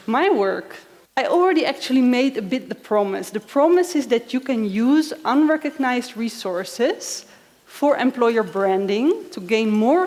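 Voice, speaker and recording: Female speaker in her thirties, Dutch accent, slightly high voice, engaging presenter, long hall reverb. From Netherlands. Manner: friendly, engaging, asks the audience questions. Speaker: conference speaker. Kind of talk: conference keynote talk. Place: large conference hall. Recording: echoey hall.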